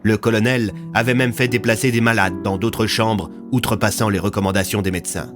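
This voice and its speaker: A man's voice: fairly low pitch, steady tone, brisk, over music. A man narrating a scripted audio fiction story.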